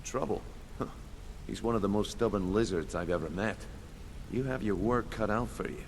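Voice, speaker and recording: An actor playing a shrewd, scornful thieves' guild boss in a video game, with a wry, commanding delivery. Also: male voice, fairly low pitch, normal speed, close to an everyday voice. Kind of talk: scripted game dialogue. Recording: clearly noisy background under game dialogue.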